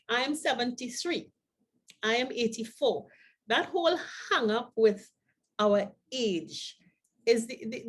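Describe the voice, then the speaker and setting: An older woman co-hosting a talk show, speaking to viewers in a deliberate, emphatic manner. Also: medium pitch. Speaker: co-host of a show for the over-fifties.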